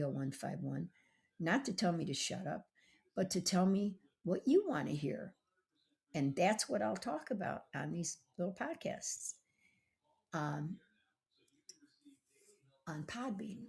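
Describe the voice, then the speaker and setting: A woman's voice: lowish voice, vocal fry, a little mellow. A woman doctor hosting her own podcast, rambling in a chatty solo monologue and coaxing listeners to call and text her.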